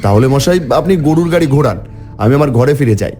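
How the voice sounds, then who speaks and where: Animated male horror narrator, mellow tone. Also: medium pitch, a little fast, soft background music. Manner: theatrical, exclamatory in dialogue. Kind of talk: dramatised first-person horror storytelling for a cartoon.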